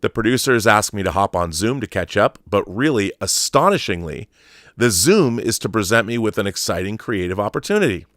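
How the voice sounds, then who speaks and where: A man's voice: lowish voice, lively intonation, fairly quick, a bit bright, dry recording, clean studio sound. A man hosting an online show, reading an article aloud in an emphatic storytelling way and adding explanatory asides.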